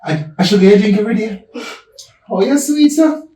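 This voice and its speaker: This is a laid-back young man, played by a woman who is heartsick over a girl. He chats in loose, slangy banter. His voice sits mid-pitch and is caught from across a reverberant room. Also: lively intonation, fairly quick, warm tone, natural voice. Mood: joking. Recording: roomy, distant from the mic.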